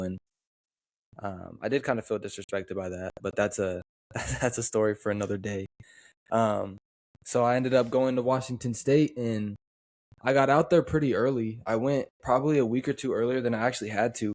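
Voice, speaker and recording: A young man from the western United States co-hosting a podcast, talking in a relaxed, easygoing way with frequent pauses. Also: lowish voice, no echo. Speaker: podcast co-host. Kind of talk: casual chat.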